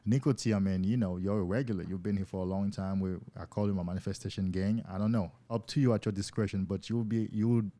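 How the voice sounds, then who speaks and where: A man's voice: fairly low pitch, fairly even intonation, fast talker, few pauses, warm tone. A man hosting a casual live stream as a coach, talking loosely and enthusiastically to viewers and often repeating words as he goes.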